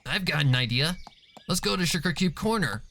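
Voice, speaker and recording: A man voicing a cheerful, excitable young schoolgirl pony in a chatty manner for a story. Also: on the high side, a little fast, creaky, a little hiss or hum, pitched above the performer's own voice, exaggerated voice. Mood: cheerful, eager.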